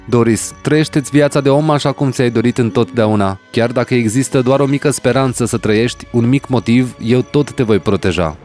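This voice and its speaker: A man's voice: mid-range voice, few pauses, a little mellow, soft background music, voice pushed up, close to an everyday voice. A man as an earnest, devoted vampire lover. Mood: protective, tender. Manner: earnest, tender. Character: devoted, protective young male vampire in love. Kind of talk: fairy-tale cartoon dubbing.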